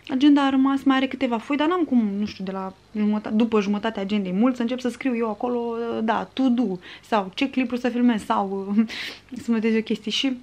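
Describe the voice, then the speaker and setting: A woman's voice: a little high, a little mellow. A woman in her twenties from Romania, a vlogger chatting to camera in a casual, rambling, conversational way. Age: 20s. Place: small furnished room at home, indoors.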